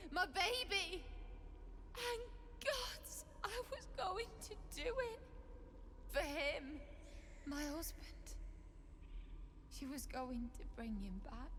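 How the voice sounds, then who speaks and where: A woman playing a grieving, self-blaming young widow in a fantasy video game, halting and anguished. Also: high voice, lively intonation, a bit bright, heavily acted. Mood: tearful, anguished. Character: grieving young widowed mother-to-be, desperate and bitter. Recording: reverberant game audio with faint ambient noise.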